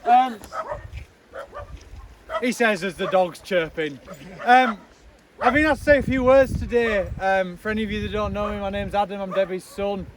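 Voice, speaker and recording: High-voiced man speaking loudly outdoors. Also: normal speed, long pauses, crowd noise. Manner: loud, chatty, joking asides, many ums. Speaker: speaker at a charity launch. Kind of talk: informal outdoor speech. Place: outdoors in the snow with a crowd. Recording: noisy outdoor recording.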